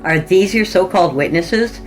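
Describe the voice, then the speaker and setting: A woman voicing a defiant deadbeat man in a dark comedy story, dismissive, evasive and sardonic. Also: lowish voice, animated, moderate pace, faint music behind, lightly acted, poor audio. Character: defiant, scornful deadbeat man dodging accusations.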